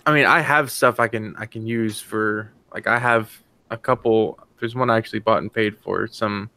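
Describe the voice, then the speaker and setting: A man of medium pitch chats through plans in a casual brainstorm, recorded dry with little room sound. He is a bit hesitant and circles back to restate his ideas. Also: steady tone, normal speed, bright, forceful tone, dry recording. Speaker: co-producer who makes music.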